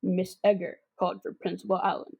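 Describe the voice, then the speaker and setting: A woman's voice: on the low side, level tone, a little fast, creaky, a little mellow. A girl of about twelve narrating a comic suspense radio drama in a theatrical, scripted way. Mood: suspenseful. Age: child about twelve.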